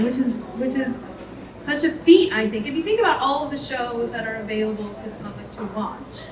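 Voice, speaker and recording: A woman interviewer asking questions in a casual, enthusiastic way. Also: on the high side. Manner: enthusiastic, quick to praise. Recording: echoey, distant, noisy ambience.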